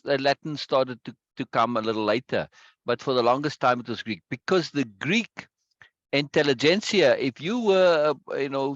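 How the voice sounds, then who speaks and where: A man hosting a casual video-call chat, talkative and quick to repeat himself and laugh. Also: medium pitch, no echo, highs cut off. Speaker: meeting host.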